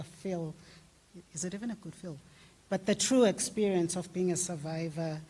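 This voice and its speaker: A woman's voice: lowish voice, dark tone. A woman moderating an event, thanking one speaker and introducing the next in an informal way.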